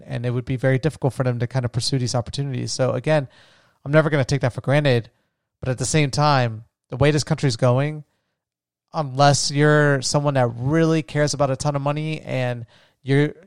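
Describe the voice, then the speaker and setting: A man's voice: mid-range voice, a little mellow, clear, resonant tone, no room sound, clean studio sound. A young American man hosting a podcast, thinking aloud in a casual, rambling way with frequent restarts. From United States. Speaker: podcast host. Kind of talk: casual solo podcast monologue.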